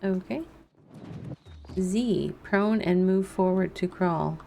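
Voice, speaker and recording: Mellow, unhurried woman streamer. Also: on the low side, vocal fry, slight background noise. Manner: playful, thinking aloud. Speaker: video game streamer. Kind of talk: casual gameplay commentary.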